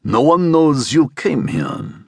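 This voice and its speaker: A man voicing a strong older man who speaks deliberately and persuasively, with a guttural Egyptian accent, in a narrated story. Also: on the low side, big pitch swings, breathy edge, dry recording, full character voice. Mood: measured. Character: Nahor, strong, commanding older man with magnetic menace.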